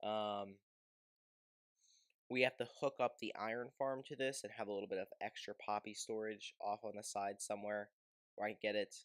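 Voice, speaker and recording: A man's voice: lowish voice, flat delivery, moderate pace, no room sound, studio quality. A man giving casual, informal commentary on a game video as its host, rambling a little as he goes.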